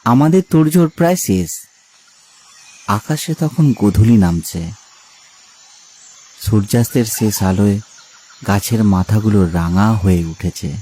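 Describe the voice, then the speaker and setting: A man narrating a cartoon ghost story in a calm, measured storytelling voice. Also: on the low side.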